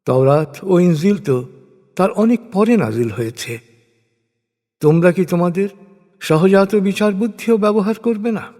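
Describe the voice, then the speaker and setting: A man with a slightly high voice recites religious prose from a script. His delivery is solemn, formal and measured, set out in short declamatory phrases. Long reverb trails each phrase, as in a big hall. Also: expressive, mellow. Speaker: narrator of a scripture translation.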